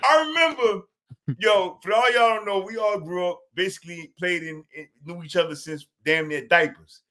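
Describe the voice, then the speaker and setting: A middle-aged man, co-hosting a podcast in casual banter. His voice sits high and bright, and he tells his stories loudly with plenty of street slang and laughter. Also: moderate pace. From Boston. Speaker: podcast co-host.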